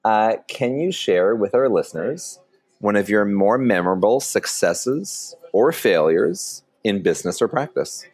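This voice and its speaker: A man's voice: lowish voice, expressive, a little slow, darkish tone, husky. A man co-hosting a podcast in a casual, chatty style. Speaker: podcast co-host.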